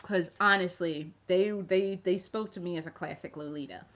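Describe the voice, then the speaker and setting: A woman's voice: fairly low pitch, moderate pace, fairly bright tone, slight background noise. A woman in her thirties chatting casually to camera as a fashion vlogger, rambling and swearing freely with jokey asides. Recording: dull lo-fi recording.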